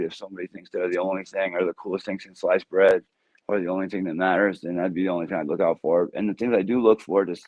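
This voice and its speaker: A man with a deep, low voice talks about his music in a casual, rambling way. He answers easygoing and laughs easily. The sound is dry and close, with no room echo. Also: darkish tone, highs cut off. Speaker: music artist guest.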